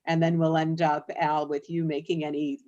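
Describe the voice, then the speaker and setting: A woman's voice: low voice, flat delivery, moderate pace, a little mellow, dull audio. A woman hosting an interview, guiding the conversation with friendly questions.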